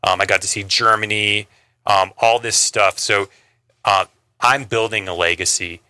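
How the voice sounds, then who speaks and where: A male Navy sailor from Montana speaking in a heartfelt, slightly halting way about service and family. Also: on the low side, steady tone, pushed and bright, clear, resonant tone, no room sound. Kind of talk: radio interview.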